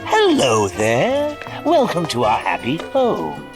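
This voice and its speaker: A gleefully unhinged clown villain for an audio drama. His pitch swoops wildly as he talks with sing-song, mock-genial showmanship, over a music score. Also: wildly swooping pitch, slow pace. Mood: mock-welcoming. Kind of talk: audio drama character acting.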